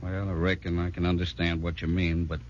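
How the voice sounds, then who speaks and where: An actor playing a concerned, loyal country neighbor, earnest and folksy, with a rural American accent in a 1940s radio drama. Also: male voice, low voice, little pitch movement, a little fast, a little mellow. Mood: worried, resigned. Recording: muffled, faintly noisy 1940s radio recording.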